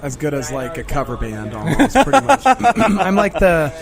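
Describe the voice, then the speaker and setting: Male comic, raspy, slightly high-pitched, rambling jokey interview chat. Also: sing-song, moderate pace, music in the background. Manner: joking, rambling, clears throat often. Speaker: stand-up comedian, feature act. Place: pizzeria comedy venue.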